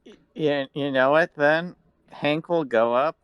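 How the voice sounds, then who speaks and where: A man's voice: a little high, unhurried, clear, resonant tone, no echo. A man casually narrating what his character does in a tabletop game, in slightly dull, dry audio.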